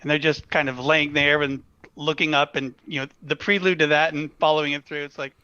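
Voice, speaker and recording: A man with a slightly high, very bright voice speaks as a fan panelist. His delivery is casual and conversational, with a sharp, forward tone. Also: steady tone, a little fast, clear, resonant tone, no echo, lo-fi sound. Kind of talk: casual chat.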